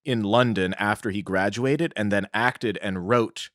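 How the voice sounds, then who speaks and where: A man's voice: lowish voice, unhurried, a bit bright, no echo, clean studio sound. A man co-hosting a podcast, telling a researched story in an informal, explanatory, conversational way. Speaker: podcast host.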